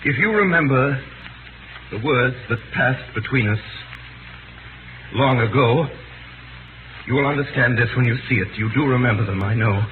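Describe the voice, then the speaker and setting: An earnest Englishman set on sacrificing himself, played by a male radio actor, gives solemn, pressing orders in a theatrical 1930s manner. His voice sits at a middle pitch, heard through a narrow, crackly old broadcast recording. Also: moderate pace, long pauses, close to an everyday voice. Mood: insistent, grave. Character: earnest, urgent Englishman resolved on self-sacrifice. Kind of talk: 1930s radio drama acting. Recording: scratchy, band-limited 1938 radio recording.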